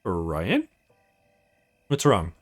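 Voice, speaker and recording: A man acts out an anxious young female game companion, caring and full of questions. The pitch swings very widely, and faint music sits behind the voice. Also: wildly swooping pitch, very slow, husky, exaggerated voice. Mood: worried. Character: concerned young woman, caring companion of the hero. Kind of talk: visual novel read-aloud.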